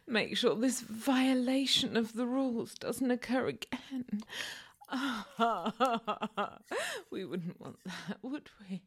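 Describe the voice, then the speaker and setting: A woman playing a breathy, obsessive dominant woman. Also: a little high, drawn-out words, full character voice. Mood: mocking, teasing. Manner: breathy whispering, sighs and laughs. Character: possessive, sadistic dominant woman, obsessive and mocking. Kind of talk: audio roleplay.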